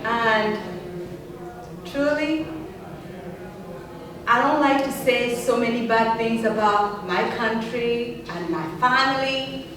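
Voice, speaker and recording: A woman's voice: on the high side, slow, pauses often, crowd noise. A young woman from Uganda, telling her personal story as a speaker with earnest, emphatic delivery. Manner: earnest, emphatic, rising passionately on key words. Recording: echoey hall from far off.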